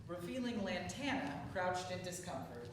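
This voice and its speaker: A woman with a fairly low voice reads stage directions as narration. She is far from the microphone in a very echoey space, with clear noise behind her. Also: very animated, moderate pace. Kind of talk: scripted narration at a play reading. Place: theatre space, distant microphone. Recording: echoey room from afar, hissy noise.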